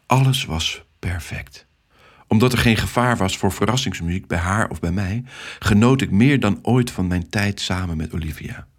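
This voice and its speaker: A man's voice: on the low side, moderate pace. A man reading a scripted first-person story for children as its storyteller.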